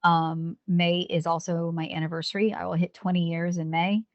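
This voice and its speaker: Woman, 50s, police officer and veteran; earnest, halting interview talk, lowish voice, dry recording. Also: flat delivery, no room sound, lo-fi sound. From United States. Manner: earnest, halting, with frequent restarts.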